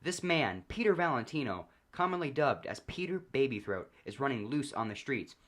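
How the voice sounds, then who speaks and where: A man acts out an urgent male news anchor in a comic story reading. The delivery is loud and declamatory, raised in pitch like a bulletin being announced. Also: very animated, normal speed.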